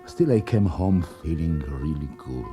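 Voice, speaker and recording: A shabby, proud old former tradesman in a radio play. His voice is very deep and weary, moving slowly and haltingly, yet it can swing widely in pitch. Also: expressive, music in the background. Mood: wistful reminiscing. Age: older. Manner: halting, pleading, with sudden outbursts. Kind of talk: radio drama acting.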